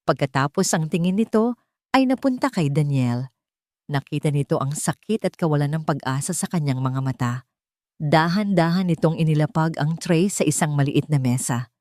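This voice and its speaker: Low-pitched Filipino woman narrating drama. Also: lively intonation, normal speed, a little mellow, dry recording, studio quality. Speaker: storyteller. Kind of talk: scripted dramatic audio-story narration.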